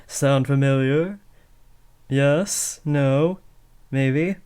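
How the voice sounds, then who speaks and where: A man's voice: medium pitch, very slow, a little mellow, smooth. A young man playing a villain's teenage son in a scripted roleplay, taunting theatrically and slipping into sheepish, casual talk. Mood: casual, boastful. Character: teenage villain's son playing at kidnapping, cocky but awkward. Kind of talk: scripted roleplay audio addressed to the listener.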